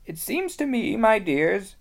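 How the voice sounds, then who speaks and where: A reader voicing a practical, motherly female beaver, speaking in a high, bustling, brisk way. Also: very animated, gravelly.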